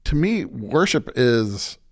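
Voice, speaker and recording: Pastor as podcast guest: American man, medium pitch, informal and reflective, thinks out loud. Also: very animated, slow pace. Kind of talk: casual reflective interview talk.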